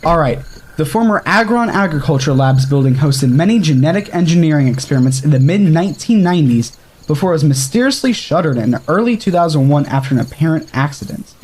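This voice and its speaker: A man's voice: a little high, a little mellow, a little hiss or hum, lightly acted. An actor playing an earnest young male explorer, the group's trivia buff, in an explanatory manner for an audio drama.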